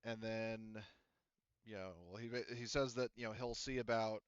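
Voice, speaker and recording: A man's voice: on the low side, level tone, moderate pace, smooth, no room sound, muffled recording. A man running a tabletop roleplaying game, rambling along with lots of fillers and restarts. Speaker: game master.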